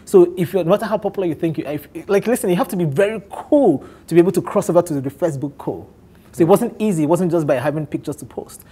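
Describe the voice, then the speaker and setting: A man in his thirties from Nigeria with an Igbo accent, telling his life story candidly on a talk show, animated and often laughing. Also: high-pitched, fast, breathy edge. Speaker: guest on a men's talk show.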